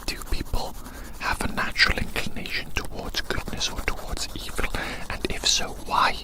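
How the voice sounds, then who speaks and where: An ASMR performer whispering a slow, rambling roleplay outdoors, repeating phrases and addressing the listener as a little friend.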